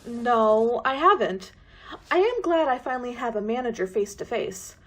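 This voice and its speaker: A woman plays a sly, sinister radio-play woman with a high voice and very lively pitch. She draws out her mock sympathy in false sweetness. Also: moderate pace. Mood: irritated.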